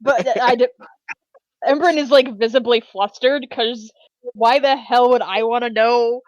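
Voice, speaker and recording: A woman plays a tabletop game, chatting casually. Her voice is fairly high and slow-paced. The recording is dry and a little dull. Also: fairly even intonation, dry recording.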